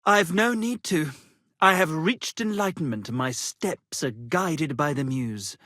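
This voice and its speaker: A melodramatic male minstrel from a medieval video game. His delivery is florid and unhurried, swinging widely in pitch. He sounds vain and easily affronted, recorded dry and close. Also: animated, sharp tone, no room sound. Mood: lofty, affronted. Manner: florid, theatrical, declamatory. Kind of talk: video game character acting.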